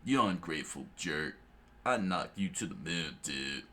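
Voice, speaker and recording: A man voicing an easygoing, excitable teenage pirate boy with a laid-back surfer-dude drawl in an adventure story. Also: a bass voice, unhurried, voice pushed down, full character voice. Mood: defiant.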